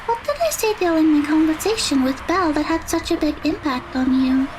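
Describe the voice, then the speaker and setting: A woman's voice: high voice, lively intonation, a little fast, noisy background. A woman playing a timid, sweet teenage magical girl in an audio drama, speaking hesitantly and apologetically. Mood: uneasy, earnest.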